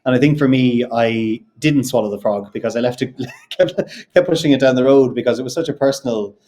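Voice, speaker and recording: A man with a neutral Irish accent, a film director answering interview questions in a thoughtful, measured way that often circles back to rephrase. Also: mid-range voice, warm tone. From Ireland.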